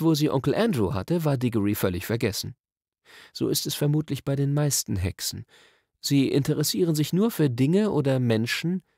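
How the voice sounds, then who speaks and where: A man reading a children's story as an expressive, scripted audiobook narration. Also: medium pitch, gravelly, no echo, clean studio sound.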